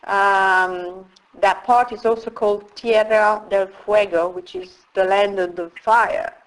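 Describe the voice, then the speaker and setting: A middle-aged woman speaks as an interview guest, her pitch sitting in a middle range. She talks at a very slow, unhurried pace. She pauses often as she reflects on her story. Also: steady tone, rough recording. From Italy. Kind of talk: casual interview.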